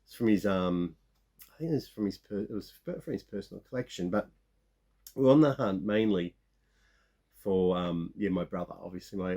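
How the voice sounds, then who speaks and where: A man in his fifties with a croaky sore-throat voice, rambling casually as he shows off his toy finds. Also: low-pitched, warm tone. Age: 50s. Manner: rambling, many restarts and fillers. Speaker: toy collecting vlogger. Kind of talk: casual show-and-tell of toy haul.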